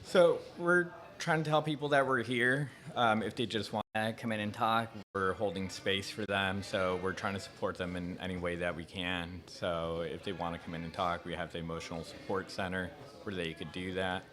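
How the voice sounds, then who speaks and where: A deep-voiced man from Mexico talks as a guest about support services. He speaks plainly and softly, and a crowd murmurs clearly in the background. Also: fairly even intonation, moderate pace, hardly any pauses. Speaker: guest, mental health worker.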